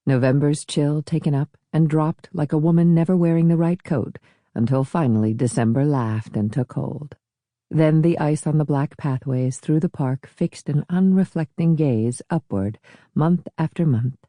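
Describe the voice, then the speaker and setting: A female audiobook narrator reads literary prose in a soft-edged, mellow voice. The sound is dry and close, with no room around it. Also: sits low, normal speed, dry recording.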